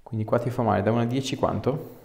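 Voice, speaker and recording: Osteopath, man, gravelly fry, short informal instructions in a reverberant clinic room. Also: medium pitch, fairly even intonation, quick pace, darkish tone. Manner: informal, reassuring. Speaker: osteopath treating a patient. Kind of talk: hands-on treatment session talk, giving short instructions and questions. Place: small clinic treatment room, indoors. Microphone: clip-on lapel mic. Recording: echoey room, audible background noise.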